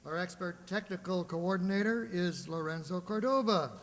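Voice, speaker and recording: A man's voice: high voice, moderate pace. A man presenting crew credits live from an auditorium stage, as one of the players. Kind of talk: live curtain-call introductions. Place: large indoor auditorium, on stage. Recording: noisy echoey auditorium with audience.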